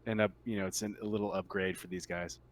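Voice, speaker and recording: A man's voice: low-pitched, flat delivery, fast, no echo. A man acting as game master, casually running and narrating a tabletop roleplaying game.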